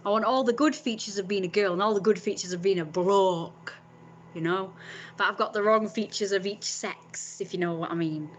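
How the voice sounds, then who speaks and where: A woman's voice: mid-range voice, moderate pace, slight background noise. A young woman, talking in a frank, rambling vlog monologue and swearing freely.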